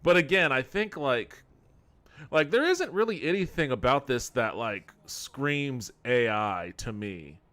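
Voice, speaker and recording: A man's voice: mid-range voice, big pitch swings, measured pace, fairly bright tone. A man streaming a game with casual commentary, rambling and bluntly critical as he goes. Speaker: game streamer and podcast host.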